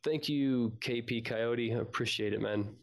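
Creaky-voiced man chatting on a fan podcast. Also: mid-range voice, normal speed. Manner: informal, chatty. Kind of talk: casual fan podcast chat.

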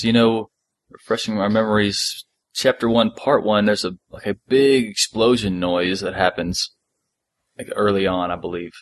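A man co-hosting a podcast in a casual discussion, explaining things in detail and thinking aloud. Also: fairly low pitch. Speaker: podcast co-host.

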